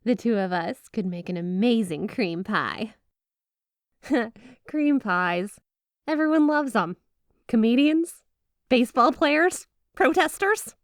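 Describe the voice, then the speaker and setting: A woman's voice: on the high side, lively intonation, slow pace, no echo. Woman acting a gushing, obsessive snow spirit wife, close-up. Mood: flirty, gushing. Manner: gushing, coy, playfully suggestive. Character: flirty, obsessive yandere snow spirit wife, sweetly doting. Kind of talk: ASMR roleplay addressed to the listener.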